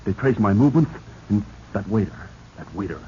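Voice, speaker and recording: An actor playing a guilt-ridden, nervous man in a 1940s radio drama, stammering and halting. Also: fairly low pitch, lively intonation, dark tone, close to an everyday voice. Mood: panicky. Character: panicky, guilt-ridden man hiding a killing. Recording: noisy, dull 1940s radio recording.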